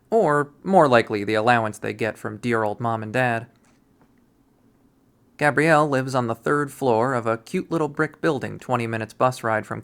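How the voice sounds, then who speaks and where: A man reading a visual novel aloud as its first-person narrator, in an expressive storytelling style. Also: mid-range voice, creaky.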